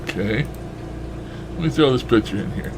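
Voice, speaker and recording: A man's voice: mid-range voice, expressive, moderate pace, background noise. A man talking in a casual, rambling monologue with a folksy, blunt and mildly profane manner. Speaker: shortwave radio hobbyist vlogger.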